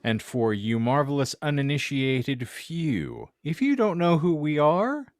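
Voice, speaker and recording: A man's voice: on the high side, big pitch swings, unhurried, no echo, clean studio sound. A man hosting a podcast in casual chat, with a wordy, florid, tongue-in-cheek way of talking. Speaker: podcast host.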